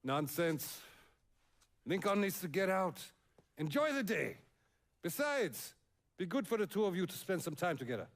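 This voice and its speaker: A man's voice: high-pitched, very animated, normal speed, pauses often. An actor playing a stern, protective older father and neighbourhood boss in a video game, speaking unhurriedly. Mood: genial, insistent. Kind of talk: cinematic video game acting.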